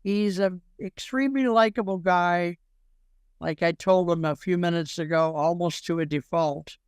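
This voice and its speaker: A man's voice: sits high, fairly even intonation, moderate pace, clear, resonant tone, no echo, clean studio sound. A man hosting an informal interview, jokey and rambling, with a habit of repeating himself. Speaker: show host interviewing a guest.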